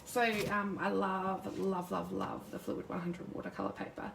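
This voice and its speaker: A woman with a croaky sore throat chatting casually and rambling as she demonstrates crafting live, greeting viewers by name. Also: mid-range voice, level tone, normal speed, fairly bright tone, a little hiss or hum. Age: adult with a son. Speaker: crafting demonstrator, youth worker. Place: home craft desk. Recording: roomy distant mic at a craft desk.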